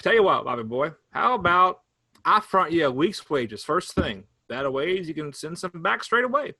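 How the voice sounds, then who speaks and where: A man's voice: on the high side, big pitch swings, moderate pace, sharp tone, no room sound, full character voice. A man reading the part of an easygoing older farmer brother, coaxing and teasing in a folksy way. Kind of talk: staged play reading.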